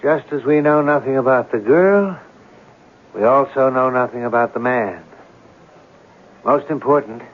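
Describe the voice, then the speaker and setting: Actor as inquisitive writer, thin old radio sound. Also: male voice, mid-range voice, close to an everyday voice. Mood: probing. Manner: probing, deliberate, articulate. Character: inquisitive, romantic male writer investigating mysterious deaths. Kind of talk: 1970s radio drama acting and narration. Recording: noisy, narrow-band old radio broadcast.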